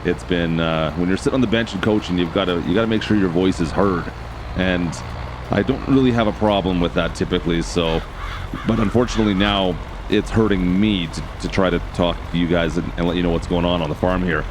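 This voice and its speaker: A man with a hoarse, raspy voice casually commenting as he plays a farming game, thinking aloud and talking to his viewers. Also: sits low, brisk, background noise. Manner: chatty, thinks aloud, addresses viewers as guys. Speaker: game channel host.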